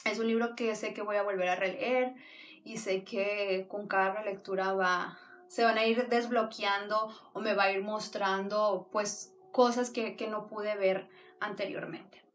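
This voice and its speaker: A woman's voice: medium pitch, fairly even intonation, a little slow, far from the mic, a little hiss or hum. Chatty woman recommending books. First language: Spanish. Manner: enthusiastic, rambling with frequent restarts. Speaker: book vlogger. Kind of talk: casual book review talk.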